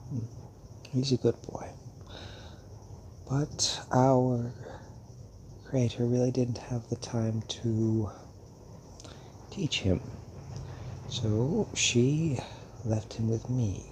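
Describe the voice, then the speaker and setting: Guy doing a old centuries-old vampire dad type for audio roleplay, really slow n halting, gentle, bit of storm noise behind. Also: medium pitch, steady tone. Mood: fond, reflective. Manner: halting, musing, with hums and long pauses. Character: centuries-old male vampire creator, fatherly, gentle, wistful. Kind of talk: intimate audio roleplay addressed to the listener.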